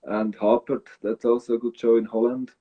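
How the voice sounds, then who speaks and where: A Swiss man with a Swiss German accent, speaking English as a guest in a casual interview, thoughtful and halting with many fillers. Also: medium pitch, level tone, warm tone, breathy edge, lo-fi sound. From Switzerland. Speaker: guest breeder.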